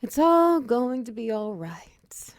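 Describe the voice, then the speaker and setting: A woman voicing a muscular female minotaur wizard who runs a potion shop, in a teasing, commanding roleplay ASMR style, with a breathy voice that slips into whispers. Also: fairly high pitch, sing-song, slow, dry recording, studio quality, full character voice. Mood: relieved, soothing. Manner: intimate, teasing, commanding, with pet names. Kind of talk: roleplay ASMR audio drama.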